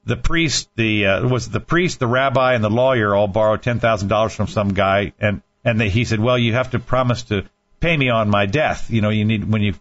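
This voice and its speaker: A man's voice: fairly low pitch, a bit bright. A man hosting a casual talk show, rambling through news stories with frequent restarts and false starts. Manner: rambling, halting with many restarts. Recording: dull lo-fi sound.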